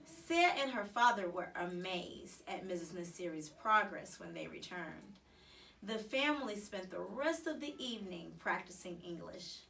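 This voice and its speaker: A woman reads a children's story aloud with very lively, varied intonation. She is an expressive narrator, recorded at a slight distance from the microphone. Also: fairly low pitch, unhurried, gravelly, a little hiss or hum. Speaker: storybook reader.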